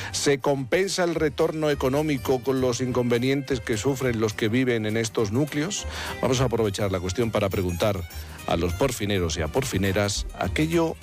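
A man hosting a radio show, moving between scripted introductions and chat with a panel. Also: mid-range voice.

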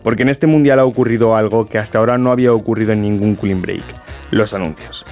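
A man voicing a scripted news report in a brisk, emphatic way. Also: fairly low pitch, darkish tone, faint music behind.

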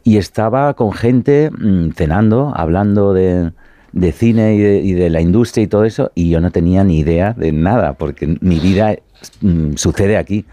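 A man's voice: low voice, normal speed, mellow, no echo. A man, an actor chatting as a guest, hesitant and searching for words. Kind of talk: casual interview chat.

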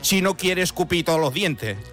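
Guy doing a drunk french deer for a radio bit, high voice, boastful and huffy, faint music behind. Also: lively intonation, moderate pace, fairly bright tone. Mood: defensive, boastful. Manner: theatrical, indignant, boastful storytelling. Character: boozy, belligerent French deer pleading his case in a letter. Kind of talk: comic radio monologue.

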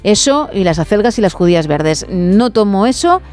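A woman's voice: medium pitch, big pitch swings, normal speed, a little mellow, soft background music. A middle-aged woman with a Spain Spanish accent, hosting a casual late-night radio phone-in in a chatty, playful way. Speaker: radio host. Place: radio studio. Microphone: studio microphone.

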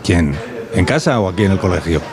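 A man's voice: low voice, expressive, a crowd in the background. Playful male interviewer in a school. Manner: playful, chatty, asks many quick questions. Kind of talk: casual chat with children. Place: rural school classroom.

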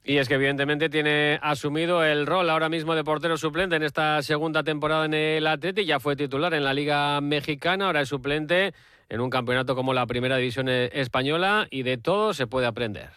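A man's voice: a little high, brisk, bright, forceful tone, smooth, dry recording, clean studio sound. A man presenting sports news on radio and introducing interview clips.

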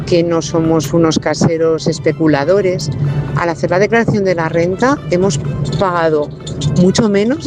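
A woman calling in to a radio show, calmly explaining her experience as a landlady. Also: on the low side. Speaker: caller, landlady. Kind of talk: recorded listener message.

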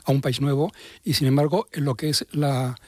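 A man's voice: mid-range voice, steady tone, moderate pace, no room sound, clean studio sound. A man, a co-host talking reflectively in an interview. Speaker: show co-host.

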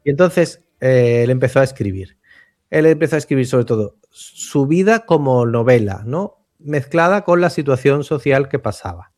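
A man's voice: mid-range voice, lively intonation, normal speed, darkish tone, dry recording, clean studio sound. A man from explains literature conversationally, searching for words and often checking for agreement. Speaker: literary commentator.

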